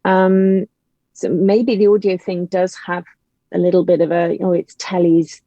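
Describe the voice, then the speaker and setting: A woman's voice: lowish voice, little pitch movement, measured pace, dark tone. A woman speaking as an interview guest, giving her opinions emphatically in a conversational way. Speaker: podcast guest, audio drama writer and actor. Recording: dull, lo-fi, roomy call audio.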